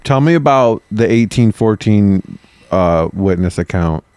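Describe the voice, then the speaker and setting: A man's voice: lowish voice, animated, measured pace, darkish tone, clean tone, no room sound. A man co-hosting a podcast in casual chat, swearing and telling stories with animation. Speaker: podcast co-host.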